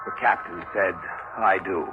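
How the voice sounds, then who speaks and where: An actor playing an earnest ship's officer, dramatic and emphatic, narrating and acting in a 1940s radio drama. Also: male voice, low-pitched, steady tone, unhurried, dull audio, music in the background. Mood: grave, haunted. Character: earnest ship's officer recounting a haunted voyage. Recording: old 1940s radio recording.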